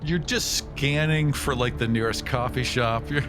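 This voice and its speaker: A man of average pitch trades casual banter as a tabletop game player. He has a wry, joking way of talking, and music plays faintly in the background. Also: measured pace.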